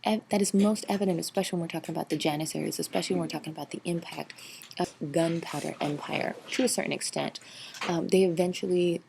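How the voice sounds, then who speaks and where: A woman's voice: on the low side, steady tone, fast talker, vocal fry, a little mellow, slight background noise. A woman teacher narrating a slideshow review lecture, explaining steadily with frequent ums and uhs. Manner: explanatory, filler-heavy with frequent um and uh. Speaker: history teacher.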